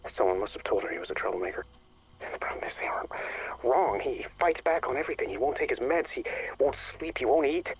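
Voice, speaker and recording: An actor playing a weary, bitter, guilt-ridden lab staffer in a game, muttering a sardonic confession in a gravelly, husky voice. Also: male voice, medium pitch, expressive, fast, slight background noise, natural voice. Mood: frustrated, worried. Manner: sardonic, foul-mouthed, muttering. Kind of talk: dramatic game voice acting, confessional audio log. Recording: muffled lo-fi radio-like audio log.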